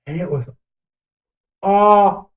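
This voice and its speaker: A man's voice: high voice, slow pace, phone-quality sound. A man co-hosting a podcast in casual, opinionated chat, blunt and prone to swearing. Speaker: podcast co-host. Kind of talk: casual movie and game chat.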